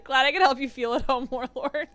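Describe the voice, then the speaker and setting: A woman's voice: sits high, fairly even intonation, fairly quick, a bit bright. A woman giving casual live commentary as she plays a game, reacting and often muttering to herself. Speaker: game streamer. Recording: clear background noise, game ambience.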